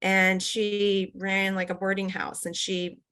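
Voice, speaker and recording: Middle-aged woman, interview guest; loose, chatty delivery full of fillers, even middle pitch. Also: slow pace, clear, resonant tone. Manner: chatty, many fillers and restarts, laughs often. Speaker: guest, company executive. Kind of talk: casual interview.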